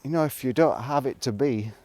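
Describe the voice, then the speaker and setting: A man talking casually to a vlog audience in a reflective, rambling monologue, musing haltingly and trailing off between thoughts. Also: mid-range voice, dark tone. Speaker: vlogger. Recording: noticeable background noise, clicks.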